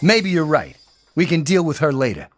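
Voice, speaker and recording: Sneering, high-pitched teenage boy bully. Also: big pitch swings, normal speed, slight background noise. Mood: threatening. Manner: taunting, swaggering. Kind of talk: video game voice acting.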